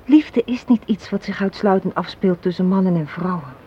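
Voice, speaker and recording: A woman's voice: fairly low pitch, lively intonation, moderate pace, close to an everyday voice. Radio play, muffled with some hiss: woman playing a young, hard-minded cynic who lectures and challenges with pointed questions. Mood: bitter, lecturing. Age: young adult. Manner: argumentative, lecturing, rhetorical questions. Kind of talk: Dutch radio play acting. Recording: dull, noisy old radio recording.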